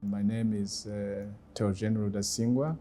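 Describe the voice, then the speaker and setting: A middle-aged man with a Rwandan accent, a native Kinyarwanda speaker, talking reflectively in a deliberate, measured way with pauses. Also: fairly low pitch, little pitch movement, warm tone, faint music behind. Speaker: guest speaker, former ambassador. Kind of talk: reflective personal talk.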